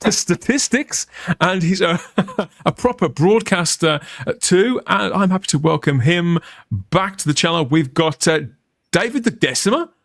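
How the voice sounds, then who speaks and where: A man's voice: high-pitched, expressive, normal speed, a bit bright, no echo, clean studio sound. A middle-aged man with a Birmingham accent hosting a live stream chat in a playful, jokey way. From England. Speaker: host.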